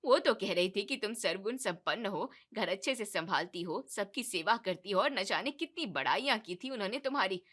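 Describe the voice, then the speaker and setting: A woman voicing a strict middle-aged mother-in-law in a cartoon story, nagging in a loud, scolding way. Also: mid-range voice, animated, fairly quick, hardly any pauses, pitched below the performer's own voice. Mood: exasperated.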